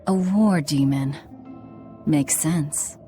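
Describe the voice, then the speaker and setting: A guarded demon girl who feeds on her foes. Her voice is somewhat low and her words come short and cold. Faint game music plays beneath her. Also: very slow, warm tone. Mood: curt. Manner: curt, cold. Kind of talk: video game cutscene acting.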